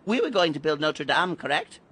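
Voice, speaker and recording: An actor playing an excitable, gleefully eerie ringleader in an audio drama, exclaiming and urging in a declamatory way. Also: male voice, on the high side, brisk, husky, heavily acted. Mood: urgent, inspired.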